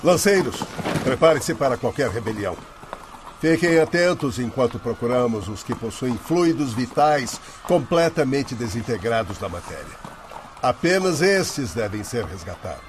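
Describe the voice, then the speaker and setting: An actor plays an authoritative patrol leader in a radio drama. His orders are animated in pitch, over clear background noise like an outdoor scene. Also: normal speed, lightly acted. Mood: stern command. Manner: authoritative, instructing. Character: commanding male leader of a rescue patrol.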